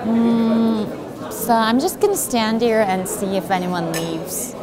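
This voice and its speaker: A woman's voice: fairly high pitch, slow, over a noisy crowd, low-quality recording. A young woman in her twenties hosting an upbeat travel vlog, walking through cafes and streets, bubbly and exclamatory with gasps of delight. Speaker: travel video host. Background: background music.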